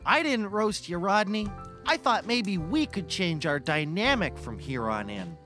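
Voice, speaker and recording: A timid, earnest comedy sidekick, acted by a man, pleads with extremely animated pitch. He comes across as put-upon and sincere. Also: unhurried, music in the background. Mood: earnest, hurt. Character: earnest, meek, put-upon male friend.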